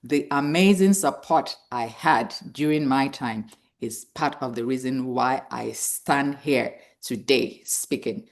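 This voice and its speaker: A woman's voice: a bass voice, takes it slowly. A woman in her fifties giving a motivational awareness talk, earnest and encouraging, repeating key phrases for emphasis. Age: 50s. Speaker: speaker hosting a cancer awareness event.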